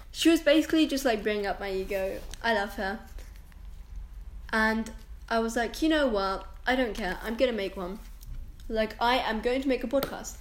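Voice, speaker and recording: Chatty young woman rambling in an echoey room. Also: on the high side. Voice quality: strong, confident voice. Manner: rambling, chatty, self-interrupting with likes and restarts. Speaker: podcast host. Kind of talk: casual solo rant. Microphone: camera and microphone, recording herself.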